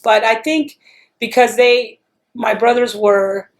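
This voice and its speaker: A woman's voice: fairly high pitch, steady tone, takes it slowly. A woman from El Paso, Texas, talking in an oral history interview in a chatty, rambling way, full of restarts.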